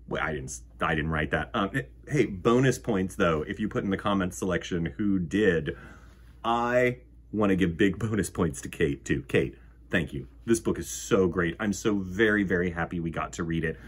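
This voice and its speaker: A man in his forties hosting children's storytime, reading and chatting in a theatrical, expressive way. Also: sits low, moderate pace, slightly rough. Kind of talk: animated picture-book reading and chat for children. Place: indoor room with bookshelves.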